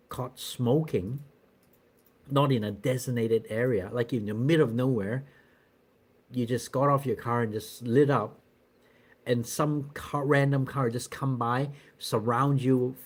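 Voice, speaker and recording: A middle-aged man hosting a live stream, chatting casually with viewers in a rambling way, with many fillers and restarts. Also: medium pitch. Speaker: channel host doing a live stream.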